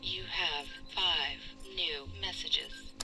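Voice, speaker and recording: A woman playing an impersonal, measured automated answering machine announcer in a video game. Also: on the low side, fairly even intonation, over loud music, low-quality recording. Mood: flat, neutral. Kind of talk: scripted system announcement.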